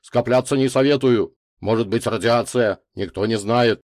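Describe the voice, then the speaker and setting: A man's voice: lowish voice, normal speed, darkish tone, dry recording, studio quality, close to an everyday voice. A man voicing a fat, anxious garden cooperative chairman in an audiobook story, easily alarmed and conspiratorial.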